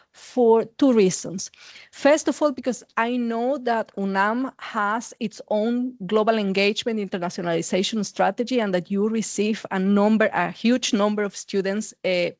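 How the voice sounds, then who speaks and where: A woman from Mexico with a clear Mexican accent presents at an academic seminar, measured and methodical. Her mid-pitched voice comes through dry and close, with little room sound around it. She often stops on "uh" and restarts her phrasing. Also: dry recording. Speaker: university associate dean and psychologist.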